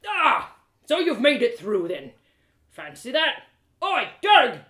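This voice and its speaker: Give me a woman acting out a gruff old village blacksmith from a storybook. He is stocky and blustering, with rustic turns of phrase and big swings in pitch. The sound is a little roomy. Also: huge pitch swings, slow pace, a bit bright, full character voice. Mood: hearty, teasing. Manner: rustic dialect, blustering exclamations. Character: gruff, stocky, red-bearded old male blacksmith, scolding yet genial. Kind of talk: storybook character voice.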